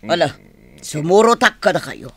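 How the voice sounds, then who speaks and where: A woman's voice: sits low, big pitch swings, normal speed, a bit bright, husky, no echo, exaggerated voice. A woman playing a nagging, suspicious wife, scolding insistently in an Ilocano radio drama.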